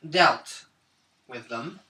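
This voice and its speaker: A man takes part in casual tabletop roleplay with a dry, wry manner. His bright, medium-pitched voice rings in an echoey room. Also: drawn-out words. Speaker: tabletop game player. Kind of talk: casual in-character roleplay chat.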